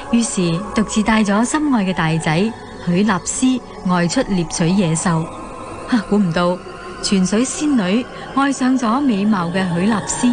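A woman with a Hong Kong accent, narrating a story dramatically as in a scripted radio drama. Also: medium pitch.

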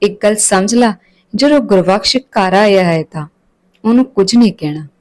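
A village mother as a woman storyteller reads her, soothing yet firm, keeping peace in the family. Her voice is medium in pitch. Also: moderate pace, darkish tone, lightly acted.

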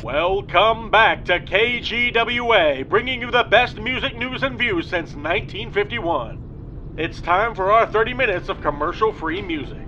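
A man's voice: high-pitched, lively intonation, unhurried. A man playing an upbeat music radio DJ giving presenter patter in an audio drama. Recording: dull radio sound over loud music.

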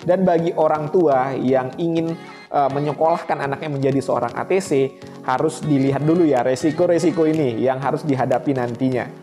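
A man's voice: medium pitch, brisk, music in the background. A man, explaining things conversationally to camera as a host and addressing viewers directly. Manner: conversational, addresses viewers as friends with rhetorical questions. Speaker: air traffic controller and channel host. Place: small indoor room, studio-like set. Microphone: clip-on lapel mic.

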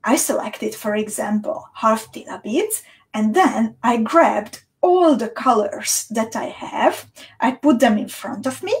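A woman in her thirties from Slovakia with a Central European accent, chatting casually and cheerfully as a livestream host, halting with small repeats. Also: fairly high pitch, animated. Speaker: beading teacher and livestream host. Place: small indoor home studio room.

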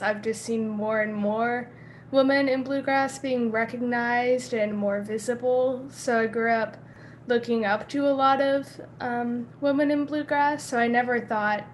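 A woman in her twenties on a video call from a bedroom, speaking earnestly and measured as a panelist. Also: on the high side, slight background noise. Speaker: panelist, young musician. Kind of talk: casual panel talk. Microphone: webcam on a video call.

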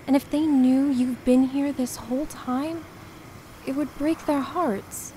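An actress playing a kind, wry spirit woman, Death's emissary, in a radio play, gentle and understated. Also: high voice, fairly even intonation, slow, slight background noise. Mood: gentle, reassuring.

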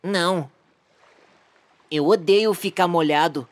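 A man's voice: sits high, slow pace, no room sound. A man with a Brazilian accent voicing a weary, exasperated big cartoon dinosaur who sighs and complains plaintively. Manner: sighing, plaintive, long-suffering. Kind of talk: cartoon voice acting.

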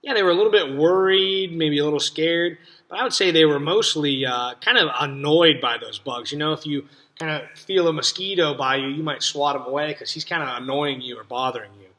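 A young man with an American accent and a somewhat high voice. He talks casually to children as a teacher would, in simple explanatory phrases with questions to the listener. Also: a little fast, hardly any pauses, a bit bright. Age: young adult. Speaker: read-aloud teacher and host. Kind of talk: casual talk to young children about a story. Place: small cluttered room indoors.

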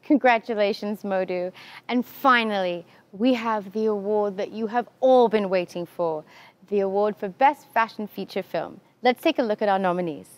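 A woman's voice: medium pitch, moderate pace, darkish tone, slight background noise. A woman in her thirties presenting an awards show from a script, as host. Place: large empty theatre stage.

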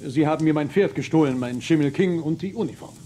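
An actor playing a hesitant but dignified army general who hems and haws, in a western radio play. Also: male voice, on the high side, warm tone, a little hiss or hum, lightly acted. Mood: halting, explaining. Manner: halting, hemming.

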